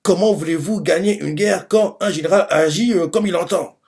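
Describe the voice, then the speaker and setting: A man's voice: high-pitched, normal speed, darkish tone, voice pushed up. A man voicing an angry warrior commander from a manga, bluntly scolding ungrateful soldiers in an indignant speech.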